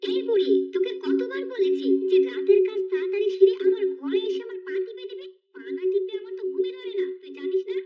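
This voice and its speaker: A woman's voice: very high-pitched, lively intonation, quick pace, hardly any pauses, distant mic. A woman voicing cruel, bossy young daughters-in-law in a children's moral-story cartoon, scolding and menacing. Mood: demanding. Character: cruel, bullying young daughters-in-law threatening an old mother-in-law. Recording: muffled, narrow phone-like sound.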